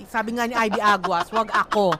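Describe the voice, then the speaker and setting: A woman's voice: mid-range voice, fairly even intonation, fairly quick, heavy background noise. A woman with a Filipino accent hosts radio in casual banter, teasing, slangy and argumentative. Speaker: radio host.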